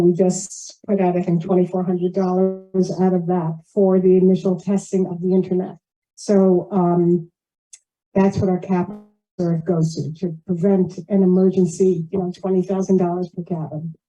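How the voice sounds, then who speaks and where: A woman's voice: mid-range voice, mellow, rough recording. A woman giving an informal report at a community meeting, plain-spoken and rambling, often drifting into asides. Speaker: board member giving a financial report. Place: community meeting shared over video call.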